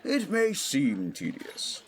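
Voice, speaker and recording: A man playing a hearty middle-aged English lord and expedition leader in a 1920s audio drama, measured and old-fashioned, with an English accent. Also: a little high, wildly swooping pitch, heavily acted. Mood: hearty, encouraging.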